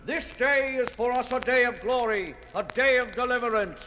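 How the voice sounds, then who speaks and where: An actor playing a proud, fervent leader of a primitive mountain people, declaiming like a preacher in a 1970s record drama. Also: male voice, very high-pitched, moderate pace, bright, forceful tone, slight background noise. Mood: solemn, exalted. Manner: declamatory, solemn, preacher-like oratory. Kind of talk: 1970s children's record audio drama acting. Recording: echoey cavernous hall reverb, music behind.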